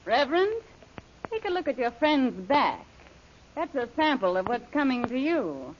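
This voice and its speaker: An actress playing a cold, taunting villainess who orders henchmen about in an old radio serial, imperious and mocking. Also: female voice, sits high, big pitch swings, a little slow. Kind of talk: 1930s radio serial acting. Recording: noisy, muffled old radio recording.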